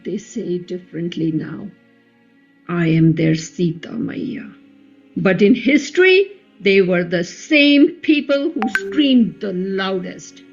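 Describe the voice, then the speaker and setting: Radio play queen, female actor: unhurried, soft-toned, solemn, devoted yet wounded and quietly resolute, slightly dull recording over music. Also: mid-range voice, sing-song, full character voice. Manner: measured, reflective, solemn. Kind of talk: play reading, radio drama.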